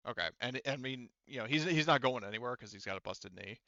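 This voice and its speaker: Bright, clear male game master. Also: mid-range voice, lively intonation, fast talker, no echo, lo-fi sound. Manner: rambling, self-correcting explanations. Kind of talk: running a tabletop roleplaying game, casual.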